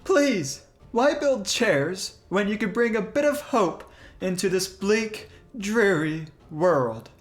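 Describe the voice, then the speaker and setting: A woman's voice: medium pitch, expressive, slow, soft background music. A woman playing a dramatic, idealistic theater kid with a florid delivery in a comedic audio drama. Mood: grandly earnest.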